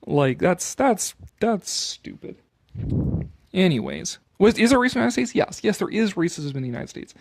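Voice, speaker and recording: This is a Canadian man with a fairly high voice for a male speaker. He reads aloud dramatically and breaks off into casual, opinionated commentary. His manner is sarcastic and exasperated, with plenty of profanity. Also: sing-song, normal speed, creaky. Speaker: commentator reading an article aloud.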